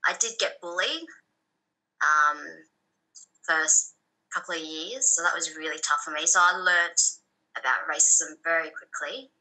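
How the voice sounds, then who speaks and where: Bright-voiced woman telling her story. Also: deep voice, measured pace, pauses often, poor audio. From Thailand. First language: Thai. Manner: soft-spoken, hesitant with frequent ums. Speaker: guest. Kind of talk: personal story interview.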